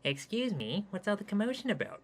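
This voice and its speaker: A weak, effeminate young man who desperately claims to be macho. He whines and pleads in a voice pitched well above the reader's own, in a comic storybook reading. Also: very animated, a little fast. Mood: whiny protest. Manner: high, whiny, pleading.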